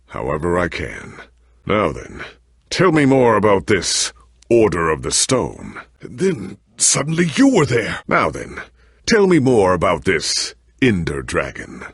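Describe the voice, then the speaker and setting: Husky, gravelly weakened warrior. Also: male voice, fairly low pitch, fairly bright tone, dry recording, clean studio sound, heavily acted. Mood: courteous, grave. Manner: formal, grave diction with heavy pauses. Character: weary, weakened warrior hero, confused and ailing, noble and earnest. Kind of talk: dramatic video game voice acting.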